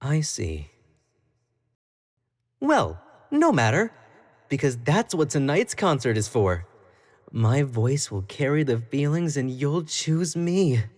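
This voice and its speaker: A man's voice: mid-range voice, sing-song, takes it slowly, long pauses, darkish tone. A man playing an obsessive, jealous young pop idol in a dramatic roleplay monologue, speaking intimately to the listener and swinging between sweet pleading and cold condescension. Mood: coldly determined.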